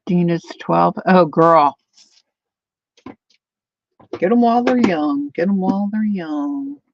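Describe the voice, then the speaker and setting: An older woman chatting casually to viewers while she crafts, thinking out loud as she works. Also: fairly low pitch, slow. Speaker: craft livestreamer. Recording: dull lo-fi dry sound.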